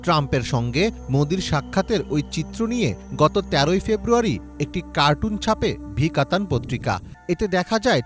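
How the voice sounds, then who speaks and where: Man, Bangladeshi accent, news voice-over, animated intonation, slightly high voice, music underneath. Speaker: news reporter. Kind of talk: scripted news voice-over narration.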